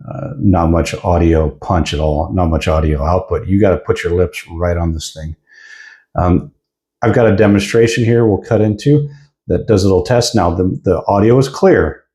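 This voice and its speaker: A man with a very low, relaxed voice talks through a piece of gear as a reviewer. His delivery is casual and explanatory, like an informal hands-on demonstration. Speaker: product reviewer.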